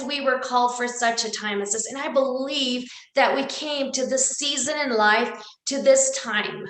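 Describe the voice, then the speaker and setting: A woman's voice: high voice, fairly bright tone. A woman from El Salvador whose first language is Spanish, giving an earnest inspirational talk and repeating words for emphasis. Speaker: speaker. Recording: echoey room.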